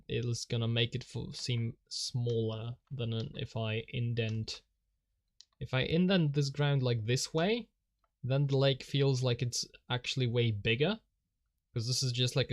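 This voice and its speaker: A Polish-speaking man talks slowly through his drawing on a live stream. He rambles, mocks himself and chuckles at his own slips. His voice sits at a middle pitch and is caught on a desk microphone. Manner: rambling, self-mocking, chuckles often.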